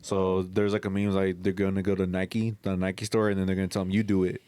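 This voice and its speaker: A man's voice: low-pitched, level tone, a little fast, warm tone, clean tone, no echo. Male podcast panelist, joking, slangy, casual group banter.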